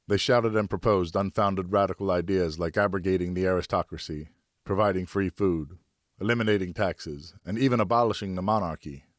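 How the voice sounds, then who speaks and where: A man narrating a novel in the first person as a professional audiobook reader, measured and dramatic. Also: low-pitched, no room sound, studio quality.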